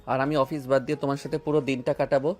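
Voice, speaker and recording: A man playing an affectionate, playful young husband in a romantic audio drama, speaking in a tender, teasing way, with soft music underneath. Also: medium pitch, level tone, quick pace, lightly acted.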